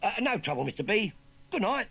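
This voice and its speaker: A chirpy young Cockney street urchin, cheeky and quick with his rhyming slang. His voice jumps up high and swoops about brightly. It is a husky, breathy storybook voice. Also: big pitch swings, full character voice. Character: perky scruffy Cockney boy urchin, cheeky.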